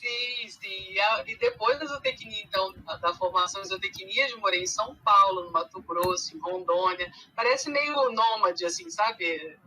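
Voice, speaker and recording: A woman from Rio de Janeiro with a mixed Brazilian accent, carioca with traces of Minas, chatting as a podcast guest with many pauses and fillers. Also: medium pitch, bright, forceful tone, husky, rough recording. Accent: mixed Brazilian Portuguese, carioca with Minas traces. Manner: hesitant, many fillers and restarts. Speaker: guest, animal science teacher. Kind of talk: casual podcast conversation.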